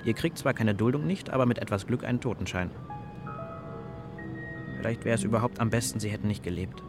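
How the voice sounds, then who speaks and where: A man's voice: fairly low pitch, fairly quick, natural voice. An actor in a radio play as a sceptical young man who speaks with flippant, questioning irony. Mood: flippant, musing. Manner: questioning, ironic. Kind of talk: German radio play dialogue. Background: music.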